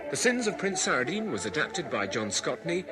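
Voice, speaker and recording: Animated male radio announcer over music. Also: fairly high pitch, fairly quick, rough recording. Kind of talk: scripted radio drama credits.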